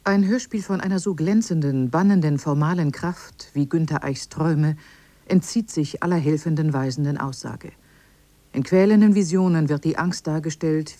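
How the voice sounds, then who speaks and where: A woman's voice: low-pitched, warm tone, dry recording. A woman formally reading a quoted, scripted text.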